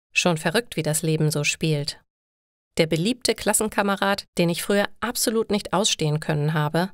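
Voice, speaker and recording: A woman narrates a scripted story in a fairly low voice. Her delivery is clear and measured, as for language learners, and the sound is very dry with no room around it. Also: dry recording. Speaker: narrator of stories for German learners.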